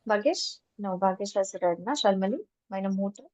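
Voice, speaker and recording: A woman's voice: medium pitch, normal speed, a little mellow, dry recording. A woman teaching a German class online, patiently explaining step by step and mixing English with German.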